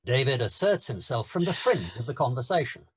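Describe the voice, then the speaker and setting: A man narrating the stage directions of a screenplay at a table read, steady and descriptive. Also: mid-range voice, fairly quick, slightly rough, no room sound.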